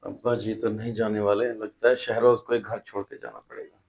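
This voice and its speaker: A man's voice: on the low side, little pitch movement, fairly quick, darkish tone, close to an everyday voice. A man playing a dutiful, anxious and deferential elder son in a moral short drama. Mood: hesitant, persuading. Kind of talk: Urdu moral short-film acting.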